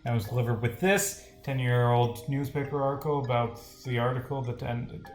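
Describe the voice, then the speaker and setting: A man casually commentating on a livestream as a game developer, rambling and thinking out loud as he reads over his script. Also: medium pitch, moderate pace. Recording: roomy, echoing sound with music.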